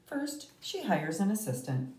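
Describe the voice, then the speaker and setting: Echoey, expressive woman reading to children. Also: mid-range voice, a little slow. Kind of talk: expressive picture-book read-aloud with asides to children. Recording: roomy, echoey, distant microphone.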